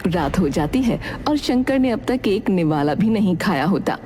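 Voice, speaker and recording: A woman's voice: medium pitch, expressive, moderate pace, darkish tone, slight background noise. A woman delivering scripted narration for a cartoon fairy tale in a storyteller's style.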